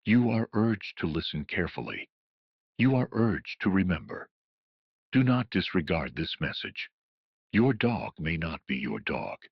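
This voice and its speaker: A man's voice: low voice, very animated, measured pace, husky. A man narrating a scripted horror emergency broadcast as a solemn, ominous official announcer, in muffled lo-fi audio with a roomy echo.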